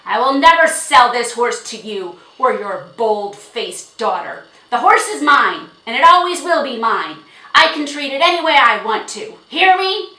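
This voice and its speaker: A woman voicing a hot-tempered, bullying old man in an audiobook, snarling and shouting in rough, old-fashioned rustic speech. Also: on the high side, big pitch swings, a little slow, reverberant, far from the mic, voice pushed up, exaggerated voice, rough recording. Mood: furious, threatening. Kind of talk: audiobook character acting.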